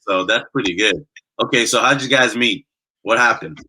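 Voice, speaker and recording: A man hosting a casual interview, asking questions in a relaxed, rambling way. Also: fairly low pitch, moderate pace, husky, rough recording. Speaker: host.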